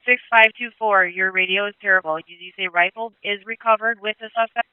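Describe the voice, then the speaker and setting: A male police dispatcher speaking over radio traffic in a calm, clipped way, repeating back information. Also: sits high, fairly quick, clean tone, dry recording, narrow, tinny audio. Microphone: dispatch console radio.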